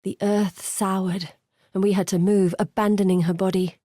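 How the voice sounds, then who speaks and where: A woman's voice: medium pitch, little pitch movement, moderate pace, warm tone, no room sound, studio quality, natural voice. An actress playing an earnest, curious woman scholar in a video game, articulate, thoughtful and dramatic in delivery. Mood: haunted, grave. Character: earnest, curious woman scholar guiding an exile through memories. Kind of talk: video game character voice acting.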